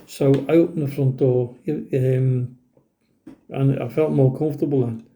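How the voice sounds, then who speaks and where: Man with a very dark, muffled-toned voice. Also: mid-range voice, steady tone, measured pace, slight background noise. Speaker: podcast guest telling his paranormal experiences. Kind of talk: casual conversational storytelling.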